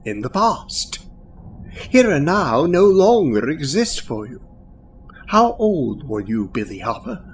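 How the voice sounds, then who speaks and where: Male actor as otherworldly afterlife guide, audio drama: highish voice, unhurried, swooping intonation, detached. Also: big pitch swings, exaggerated voice. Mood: detached, matter-of-fact. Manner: deliberate, detached, measured. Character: calm, matter-of-fact otherworldly guide to the newly dead. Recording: faint background noise.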